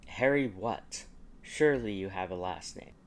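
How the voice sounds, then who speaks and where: A man's voice: sits low, animated, unhurried, voice pushed down. Audiobook role: young aristocratic wizard father, haughty and sardonic, dry formal questioning, played by a male narrator. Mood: bewildered, probing. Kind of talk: audiobook character dialogue.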